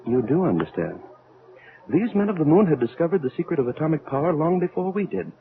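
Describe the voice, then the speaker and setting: An actor in an old-time radio drama playing a troubled elderly scientist, speaking in a halting, brooding, theatrical way. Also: male voice, medium pitch, phone-quality sound, natural voice. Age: older. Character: grave, troubled elderly scientist aboard a spaceship. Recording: band-limited vintage radio recording.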